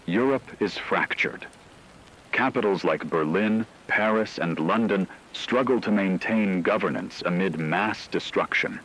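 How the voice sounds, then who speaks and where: A man's voice: low-pitched, fairly bright tone, a little hiss or hum, exaggerated voice, poor audio. A man playing a solemn radio news anchor, delivering a portentous scripted emergency war broadcast. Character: grave male radio news anchor announcing world war.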